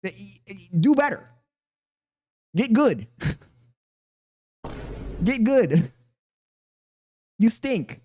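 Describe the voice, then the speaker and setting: A man's voice: very high-pitched, big pitch swings, very slow, pauses often, darkish tone. A man with a thin voice hosting a reaction video, chatting casually to his viewers in a blunt, mocking, profane way. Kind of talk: casual reaction commentary to chat.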